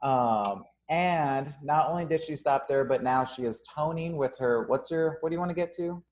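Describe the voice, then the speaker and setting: A man's voice: on the high side, normal speed, a little mellow. Casual young man hosting a live stream. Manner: casual, filler-heavy, upbeat. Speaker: gym owner and host.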